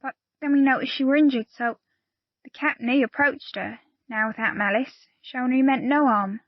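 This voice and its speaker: A loyal pirate woman with a fairly high voice, recorded close and dry. She speaks plainly and earnestly in salty old seafaring phrases, as in an audio drama. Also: fairly even intonation, normal speed, dry recording, dull audio. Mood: grave, confiding. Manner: earnest storytelling in archaic pirate dialect. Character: loyal, plain-spoken pirate crewwoman confiding a grave secret. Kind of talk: audio play acting.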